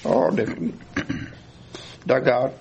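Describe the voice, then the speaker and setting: Older male veteran, gravelly and slow, halting recollection. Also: medium pitch, expressive, darkish tone, slight background noise. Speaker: veteran honoree. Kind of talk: recalling memories. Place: indoor community gathering.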